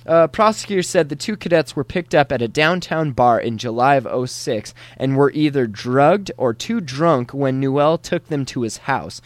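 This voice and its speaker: A man's voice: medium pitch, normal speed, hardly any pauses, dry recording. Crude, irreverent male podcast host. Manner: crude, irreverent, laughing often. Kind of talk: crude comedic news riffing.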